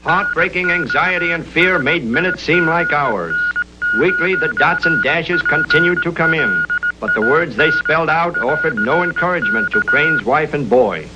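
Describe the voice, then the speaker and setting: A man's voice: a little high, animated, moderate pace, loud music behind the voice. A man narrating in a melodramatic, earnest 1930s newsreel style, reading a script with great drama. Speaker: film narrator. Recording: muffled, very noisy old 1930s film soundtrack.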